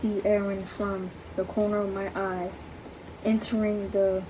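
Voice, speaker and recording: Young female reader, slow and halting, flat and mellow voice, dull home recording. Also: mid-range voice, background noise. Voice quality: careful, halting, stumbles over words. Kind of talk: slow halting read-aloud, saying punctuation aloud.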